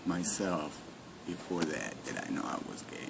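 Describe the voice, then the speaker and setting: A man in his forties with a Mexican Spanish accent and a very deep voice. He answers in short, plain phrases with halting restarts. The recording has a steady noisy hiss behind it. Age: 40s. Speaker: interviewee. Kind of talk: oral history interview.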